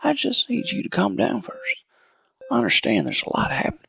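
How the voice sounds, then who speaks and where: A man's voice: sits high, big pitch swings, a little fast, vocal fry, bright, forceful tone, muffled recording, full character voice. A man playing the tense, pressured founder of an elite unit, who speaks urgently and pleads to be heard. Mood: urgent, soothing. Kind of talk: audio drama acting.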